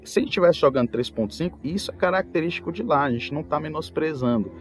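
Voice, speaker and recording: A man's voice: a little high, animated, fast talker. Brazilian man telling stories over music. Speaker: podcast host. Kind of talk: casual anecdotal talk about tabletop role-playing. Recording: music bed behind the voice. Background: background music.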